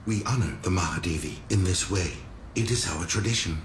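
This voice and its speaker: An actor playing a formal, respectful palace servant who honours tradition in a period film. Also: male voice, sits low, moderate pace, natural voice. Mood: deferential. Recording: echoey room, background noise.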